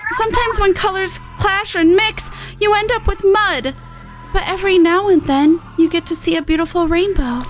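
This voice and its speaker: A woman plays a young female inventor from a video game, gentle yet resolute. The voice sits high and speaks with earnest, thoughtful sincerity over prominent music. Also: moderate pace. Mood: earnest, hopeful.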